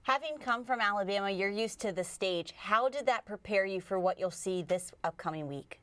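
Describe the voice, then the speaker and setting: A woman's voice: medium pitch, expressive, moderate pace, fairly bright tone, dry recording. A woman co-hosting a sports show, presenting in a polished broadcast style.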